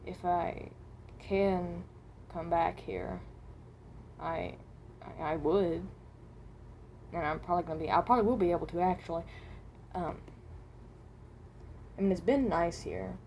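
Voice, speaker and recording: Woman playing a halting, muted, low-voiced dimension traveler. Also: darkish tone, a little hiss or hum. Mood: hesitant, wistful. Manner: halting, hesitant, trailing off and rephrasing. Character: hesitant, mild-mannered traveler from another dimension. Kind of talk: improvised in-character voice lines.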